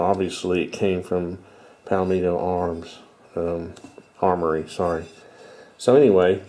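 Congested middle-aged man, mellow low voice. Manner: rambling, self-correcting. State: stuffy, congested. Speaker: video host. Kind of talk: casual show-and-tell talk. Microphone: headset.

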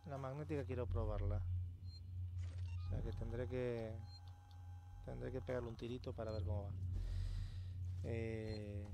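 A man with an accent from Spain giving casual, sweary let's play commentary, muttering to himself. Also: mid-range voice. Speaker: game player, channel host. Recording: clear background game noise.

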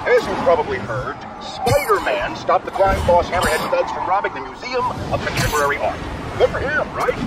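A man's voice: high voice, wildly swooping pitch, moderate pace, heavy background noise. An actor voicing an indignant, theatrical male broadcast ranter in a video game, bombastic and emphatic. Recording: distant voice under loud music, crowd.

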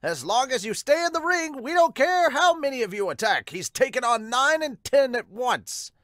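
A man voicing an excitable, showman-like sumo match announcer in a manga dub. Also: extremely high pitch, normal speed, dry recording, studio quality, pitched above the performer's own voice, full character voice. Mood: hyped. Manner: showman-like, exclamatory. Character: excitable male sumo match announcer hyping an unbeatable champion.

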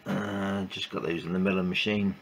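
A man giving informal hands-on workshop commentary in a halting, matter-of-fact way with clipped sentences. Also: low-pitched, little pitch movement, creaky. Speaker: presenter of a motorbike build vlog. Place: small wooden shed workshop. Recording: noisy workshop recording with background ambience.

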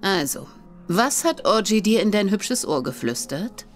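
A woman's voice: medium pitch, sing-song, a little slow, soft background music. An actress playing a worldly, teasing female brothel keeper in a radio drama.

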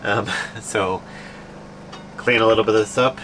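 A man's voice: fairly low pitch, fairly even intonation, measured pace, very noisy. A man in his thirties hosting a sailing vlog, talking casually with fillers as he explains what he is doing on his boat. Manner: explaining step by step, chatty with fillers. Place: aboard a sailboat, cabin and deck.

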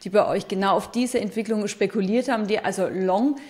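A woman in her thirties hosting a business news interview, asking brisk, leading questions. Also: mid-range voice, a little mellow. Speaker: host interviewer.

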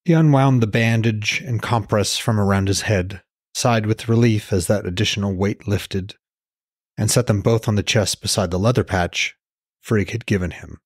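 A man narrates a novel in a low voice with some huskiness. The sound is dry, and he delivers scripted storytelling as host of his own podcast. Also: fairly even intonation, normal speed, no echo.

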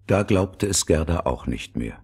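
A man's voice: low voice, animated, unhurried. A man narrating a scripted fairy tale as a storyteller. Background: music.

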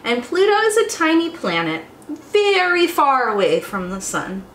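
A young woman hosting storytime as a teacher, reading aloud to small children in an expressive, exclamatory way. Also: sits high, measured pace. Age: young adult. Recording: roomy echo, faint background noise.

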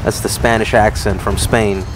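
A man's voice: on the low side, level tone, moderate pace, background noise. A man giving casual, profane video game commentary with a mocking, slangy way of talking. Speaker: gaming streamer. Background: video game music and effects.

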